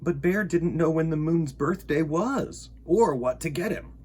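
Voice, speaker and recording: A man's voice: on the high side, a little slow, a little mellow. A man hosting a children's storytime, reading picture books aloud with playful, theatrical delivery and rambling asides. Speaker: storytime host.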